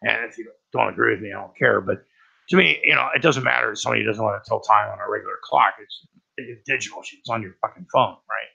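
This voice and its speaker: A man hosting a livestream with a raspy voice and a cold, rambling and swearing through casual talk about the news. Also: fairly low pitch, quick pace, bright, forceful tone, muffled recording. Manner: profane and rambling, lots of "right?". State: has a cold. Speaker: livestream host.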